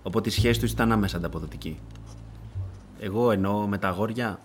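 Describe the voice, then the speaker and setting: A man's voice: lowish voice, a little hiss or hum, close to an everyday voice. An actor playing an evasive, irritable middle-aged newspaper editor in a radio drama, guarded and hesitant in his replies. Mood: irritated.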